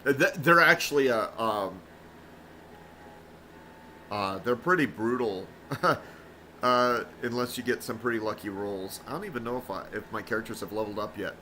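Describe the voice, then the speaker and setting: Man, game streamer, bright voice, rambling casual commentary, faint background noise. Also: on the low side, moderate pace, long pauses. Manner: rambling, many fillers and restarts. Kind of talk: casual let's-play commentary.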